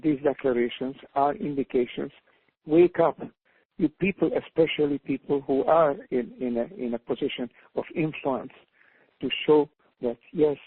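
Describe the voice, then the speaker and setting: Older man, Hungarian accent, earnest, halting, husky voice, tinny phone line, dry sound. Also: mid-range voice, dry recording. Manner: earnest, halting, repeats words while searching. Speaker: panel guest, author. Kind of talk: reflective panel talk by phone. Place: calling in from home abroad.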